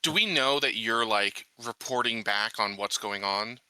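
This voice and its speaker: Very bright, forceful man talking casually. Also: fairly low pitch, measured pace, clean tone, no echo, low-quality recording. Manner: reasons aloud with many casual qualifiers. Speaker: roleplaying game player. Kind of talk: casual game discussion.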